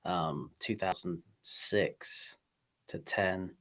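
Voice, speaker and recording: A man in his thirties from England, a golf coach, chatting casually as a podcast guest in a laid-back, halting way. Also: deep voice, fairly even intonation, fairly bright tone. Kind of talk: casual interview chat.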